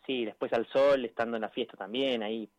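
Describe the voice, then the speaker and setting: An Argentine man speaking casually as an interview guest over a phone call, often pausing to search for words. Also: mid-range voice, steady tone, dry recording. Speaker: interviewed amateur triathlete. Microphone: phone line.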